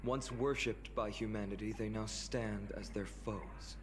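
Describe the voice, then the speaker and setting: A man voicing a courteous, earnest priest in a game, explaining things in a measured way. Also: fairly low pitch, steady tone, background noise, close to an everyday voice. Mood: calm. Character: polite, earnest male priest host. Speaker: game character. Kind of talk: game voice acting.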